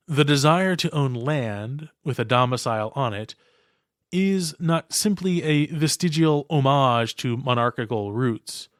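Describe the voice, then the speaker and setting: A man reading a scripted podcast essay in a measured, formal, erudite manner. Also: mid-range voice, no room sound, clean studio sound. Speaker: podcast host.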